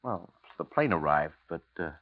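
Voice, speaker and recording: Knowing blackmailer, American, insinuating and hesitant, very varied intonation, lo-fi 1950s radio. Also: male voice, low voice, expressive, normal speed. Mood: probing. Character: sly, insinuating male blackmailer, coolly needling. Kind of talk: 1950s radio drama acting. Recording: dull, lo-fi 1950s radio recording.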